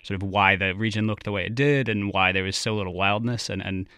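A man's voice: on the low side, fairly even intonation, brisk, pushed and bright, clean tone, no echo, clean studio sound. A man from Connecticut, speaking as a radio guest, who sounds thoughtful and restarts his sentences now and then. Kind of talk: reflective conversation on a radio show.

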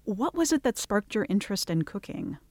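A woman's voice: medium pitch, sing-song, fairly quick, a little mellow, dry recording. A woman interviewing a chef, asking attentive questions and responding appreciatively. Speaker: radio interviewer.

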